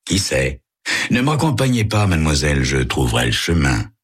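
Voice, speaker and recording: A man playing a composed private detective who speaks in a measured, courteous way. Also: a bass voice, big pitch swings, husky, heavily acted. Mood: calm. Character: composed male private detective, calm and polite.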